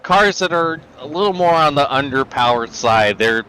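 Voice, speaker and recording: A man with a hoarse voice giving analytical color commentary on a sim race. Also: a little high, expressive, moderate pace, pushed and bright, a little hiss or hum, rough recording. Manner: analytical, explains car handling.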